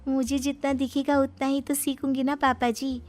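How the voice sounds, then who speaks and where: Cheeky, high-voiced young bride, acted by a woman. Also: level tone, normal speed, a little mellow, lightly acted. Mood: mock innocent. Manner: mock-innocent, pert. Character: cheeky, defiant young bride, falsely innocent. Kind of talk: cartoon moral-story acting. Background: faint music.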